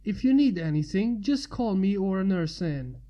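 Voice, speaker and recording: Woman as male doctor, storybook character, calm, matter-of-fact, reassuring, dark soft tone. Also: medium pitch, normal speed, dull audio, close to an everyday voice.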